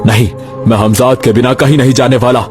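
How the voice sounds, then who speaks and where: A man's voice: mid-range voice, steady tone, fairly quick, pitched above the performer's own voice, lightly acted. A man playing a determined, protective father in a horror audio story, speaking with earnest urgency. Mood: defiant. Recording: loud music bed under voice.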